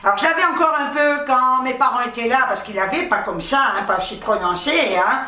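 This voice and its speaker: A woman vlogger from North Africa with a high-pitched voice, chatting casually. She rambles in a playful, self-mocking way with salty language. The recording is echoey, with the microphone a bit away from her. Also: a little fast, pushed and bright. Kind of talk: casual chatty grocery haul. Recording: roomy, distant microphone.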